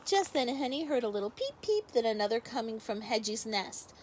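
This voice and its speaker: A woman's voice: on the high side, big pitch swings, a little fast, noisy background. A woman teacher speaking expressively to young children in a story read-aloud with casual asides. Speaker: first grade teacher.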